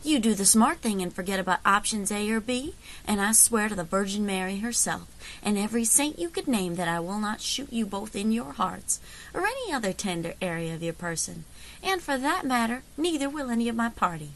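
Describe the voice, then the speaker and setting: A woman playing a confident, folksy frontier leader in an audio drama, wry and commanding, with calm menace. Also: medium pitch, a little fast, low-quality recording. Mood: cool, commanding.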